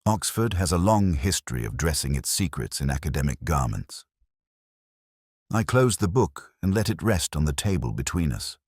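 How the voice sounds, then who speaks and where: Brooding detective narrator played by a man for mystery audio drama: very low voice, solemn and measured, expressive range, dry studio sound. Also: husky, no room sound, exaggerated voice. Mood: brooding, reflective. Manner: measured, solemn, literary phrasing. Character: brooding, contemplative male police detective recounting a murder case.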